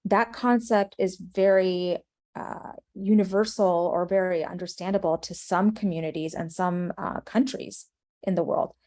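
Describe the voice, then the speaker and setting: A woman's voice: on the low side, fairly even intonation, measured pace, mellow. A woman with an American accent giving thoughtful interview answers as a writer, earnest and reflective in manner. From United States. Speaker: screenwriter and playwright, interview guest.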